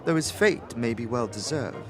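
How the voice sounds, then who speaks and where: A woman playing a genteel, chatty clerk in an audio drama, speaking in a measured, refined manner. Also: extremely low pitch, expressive, over music. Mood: pitying. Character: genteel female clerk, chatty, pitying art onlooker.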